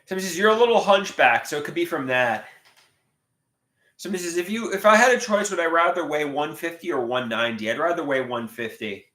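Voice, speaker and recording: A man's voice: fairly high pitch, lively intonation, quick pace, bright, forceful tone. A man in his late thirties chatting casually on a livestream and reading viewer comments aloud, with a stuffed nose. He halts often, repeating words and restarting sentences. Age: 30s. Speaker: livestream host. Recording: echoey room.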